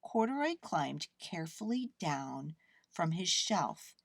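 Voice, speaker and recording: Expressive, slow-paced woman storyteller. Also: lowish voice, gravelly, dry recording, clean studio sound. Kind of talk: expressive children's storybook narration.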